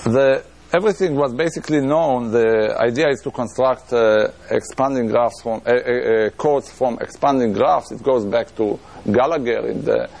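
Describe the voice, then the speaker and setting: A man's voice: medium pitch, normal speed, vocal fry, hardly any pauses, darkish tone. A middle-aged man with an Israeli accent giving a lecture in a room, thinking aloud with many restarts and fillers. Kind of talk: academic lecture on math.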